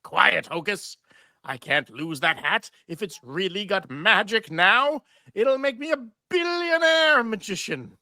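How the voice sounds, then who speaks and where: A woman's voice: mid-range voice, expressive, a little slow, bright, forceful tone, no echo, studio quality. A woman playing a pompous, petulant, greedy stage magician who scolds theatrically in a live cartoon table read. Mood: greedy, scolding.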